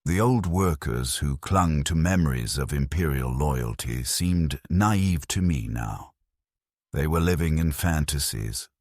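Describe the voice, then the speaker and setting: A man narrating a scripted dramatic science fiction story in a somber, resigned manner, with a gravelly, husky voice. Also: extremely low pitch, darkish tone, dry recording, studio quality.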